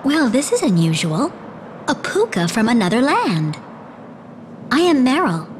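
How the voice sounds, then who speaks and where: A woman's voice: fairly high pitch, big pitch swings, measured pace, slight background noise. An actress playing a cautious young female rabbit-folk villager. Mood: wary. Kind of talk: video game cutscene acting.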